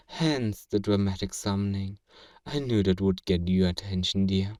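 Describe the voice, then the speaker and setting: A man playing a feared fantasy villain in a flirtatious audio roleplay, measured, amused and condescendingly courteous, in an intimate ASMR acting style. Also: sits low, fairly even intonation, a little mellow, studio quality. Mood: musing, intrigued. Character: feared male fantasy villain, suave, teasing, flirtatiously obsessive. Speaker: voice actor performing a roleplay. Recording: roomy echo, distant from the mic.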